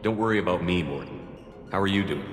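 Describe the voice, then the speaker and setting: A man playing a firm, level-headed military commander in a video game, direct and questioning, with quiet authority. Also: sits low, fast, natural voice. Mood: steady, measured. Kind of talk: video game cutscene acting. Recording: echoey hall reverb under clear background music.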